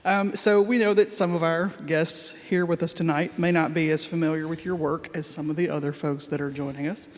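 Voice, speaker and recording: Man, event host, playful and informal, fairly high voice, hall reverb. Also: fairly even intonation, fairly quick, few pauses. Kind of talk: casual interview questions.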